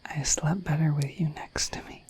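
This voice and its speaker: A woman voicing a shy, affectionate, dorky dragon in a bashful, hesitant breathy whisper for an ASMR roleplay. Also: sits low, fairly even intonation, moderate pace, a little mellow. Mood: flustered, affectionate. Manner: bashful, hesitant, apologetic, rambling.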